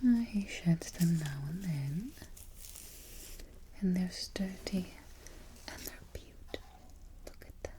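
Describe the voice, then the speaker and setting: A woman playing a prim, haughty evil queen in a soft, whispered ASMR roleplay, slow and politely imperious, recorded close to the microphone. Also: lowish voice, expressive. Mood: calm, fond. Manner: unhurried, exacting, politely imperious. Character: prim, haughty evil queen instructing her new helper.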